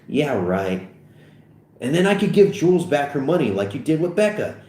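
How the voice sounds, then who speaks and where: A man's voice: on the high side, big pitch swings, moderate pace, warm tone, voice pushed up. A man playing a brash, foul-mouthed, pushy teenage boy in a comedy screenplay read aloud. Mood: sarcastic. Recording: echoey room.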